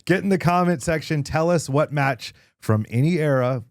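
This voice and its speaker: A man co-hosting a podcast in casual chat, animated and jokey, often repeating himself. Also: fairly high pitch, moderate pace, dry recording, studio quality. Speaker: podcast co-host.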